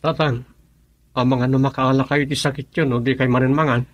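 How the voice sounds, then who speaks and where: A man's voice: mid-range voice, level tone, brisk, dry recording, highs cut off, lightly acted. A man playing a concerned, respectful young son, subdued in manner, in an Ilocano radio drama.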